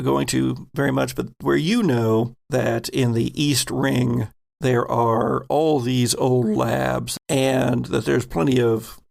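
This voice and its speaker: A man narrating a tabletop game as game master, telling the story in a deliberate, suspenseful way. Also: medium pitch, vocal fry.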